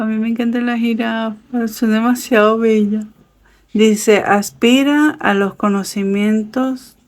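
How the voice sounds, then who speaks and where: A woman giving a casual tarot reading, chatty and affectionate, with many fillers and soft laughs. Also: medium pitch. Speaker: tarot reader. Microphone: camera set up over a table with cards.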